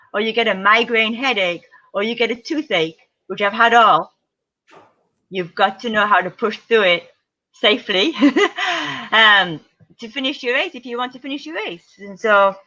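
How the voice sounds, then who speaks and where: A middle-aged woman tells stories as an interview guest, lively and acting out the people she quotes. Her voice is bright and forward. The audio is dull and lo-fi, like a remote call. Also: fairly high pitch. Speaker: guest ultra-distance triathlete. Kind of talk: casual interview storytelling.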